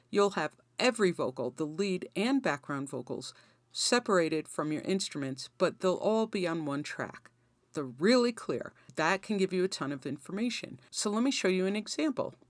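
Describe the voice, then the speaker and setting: Woman, lower-pitched voice, animated melody, instructional narration, conversational, very dry recording. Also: no echo. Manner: conversational, explanatory. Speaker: host of songwriting tutorial.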